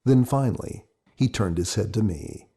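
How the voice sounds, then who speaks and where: A man reading scripted first-person audiobook narration in a measured storytelling manner. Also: on the low side, animated, mellow, husky. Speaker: author narrating his audiobook.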